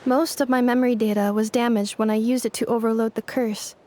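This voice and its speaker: A woman voicing a calm, dutiful android woman in a game, speaking in an even, formal, machine-like way. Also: on the high side, fairly quick, slight background noise, lightly acted. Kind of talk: game dialogue.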